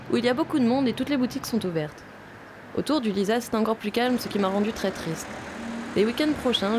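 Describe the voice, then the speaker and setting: A young woman from Vietnam, a native Vietnamese speaker, calmly narrating a personal video diary as a visiting film student. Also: fairly high pitch, noisy background. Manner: calm, reflective, first-person storytelling.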